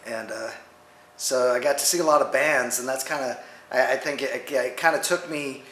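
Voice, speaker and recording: An American man from Texas, a musician talking casually and haltingly in a band interview, often repeating himself. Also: medium pitch, fairly even intonation, a bit bright. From Dallas, Texas, USA. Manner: rambling, halting, repeats words. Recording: roomy echo, faint noise.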